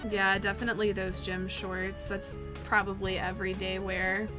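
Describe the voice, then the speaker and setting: A woman's voice: mid-range voice, flat delivery, moderate pace. A young woman game streamer on a headset mic, sarcastically teasing her viewers in casual live commentary. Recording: loud game music behind.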